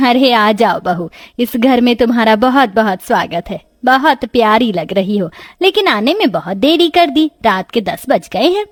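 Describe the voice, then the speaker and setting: A woman's voice: fairly high pitch, animated, moderate pace, few pauses. A woman voicing an affectionate, fussing middle-aged village mother-in-law in a story.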